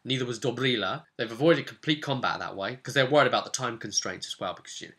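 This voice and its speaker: A man casually recounting a role-playing session as game master, telling it in an animated storyteller's way. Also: mid-range voice, fast.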